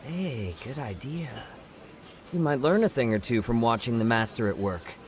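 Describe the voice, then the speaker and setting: An actor playing an easygoing, shrewd private investigator in a video game, with a casual, knowing manner. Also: male voice, mid-range voice, normal speed, background noise, natural voice. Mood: wry. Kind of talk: video game cutscene acting.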